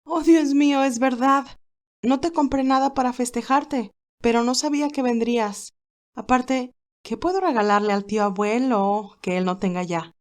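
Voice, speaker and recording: A woman voicing a cheerful, caring young female nurse in an audio storybook, lively and tender. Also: fairly high pitch, moderate pace, voice pushed up, close to an everyday voice. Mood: playful, warm. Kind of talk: audio storybook character dialogue.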